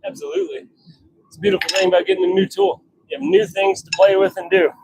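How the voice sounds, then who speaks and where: A man's voice: sits high, very animated, quick pace, gravelly, noisy background. A man chatting informally on a livestream while he works, talking half to himself and half to viewers. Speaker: livestream host, hobby blacksmith. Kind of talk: casual livestream chat while working. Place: home forge workshop.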